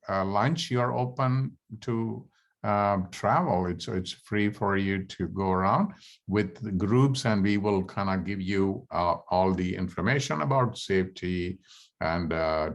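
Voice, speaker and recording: A middle-aged man, a native Tamil speaker, gives an information session to prospective students. His voice sits low and his delivery is halting, full of "uh" and "um" and small restarts as he explains the program. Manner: halting, many "uh" fillers and restarts. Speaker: study abroad program presenter.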